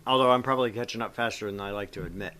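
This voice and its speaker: A man's voice: on the low side, very fast, bright, forceful tone, slight background noise. A man hosting a game stream, reading a visual novel aloud and chatting casually in a salty, joking way. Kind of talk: casual chat mixed with game narration.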